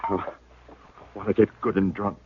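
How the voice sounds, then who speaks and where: An actor playing a wounded, stoic homesteader who speaks in a rough rural drawl. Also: male voice, lowish voice, little pitch movement, normal speed, slight background noise, natural voice. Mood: grim. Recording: tinny vintage radio broadcast.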